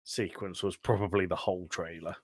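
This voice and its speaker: Male podcast host, lowish pitch, close dry sound, sweary deadpan humour in loose film chat. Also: fairly quick, no room sound. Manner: sweary, dryly joking. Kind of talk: casual film review chat.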